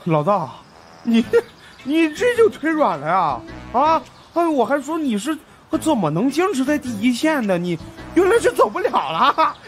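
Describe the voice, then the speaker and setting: Squeaky, cheeky fat young guy. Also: wildly swooping pitch, normal speed, a bit bright, soft background music, heavily acted. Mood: gloating, teasing. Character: cheeky fat young man, teasing and gloating.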